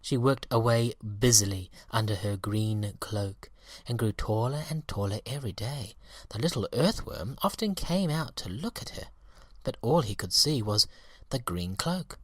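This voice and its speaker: A man reading a children's story aloud in an expressive, deliberate storytelling manner. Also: fairly low pitch, no echo, studio quality. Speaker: audiobook reader.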